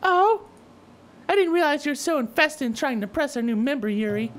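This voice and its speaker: Man putting on a high, bratty anime schoolgirl. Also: expressive, brisk, a bit bright. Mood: boastful. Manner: indignant, blunt, accusatory. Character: bratty, combative anime schoolgirl with a short temper. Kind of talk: visual novel character read-aloud.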